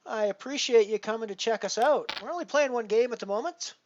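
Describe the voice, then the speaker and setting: A man's voice: very high voice, fairly quick. Chatty Canadian man in his fifties. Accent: Canadian (Albertan). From Alberta, Canada. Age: 50s. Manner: chatty, greets viewers warmly by name. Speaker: poker streamer. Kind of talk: casual live-stream commentary. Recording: dull lo-fi stream audio with faint noise.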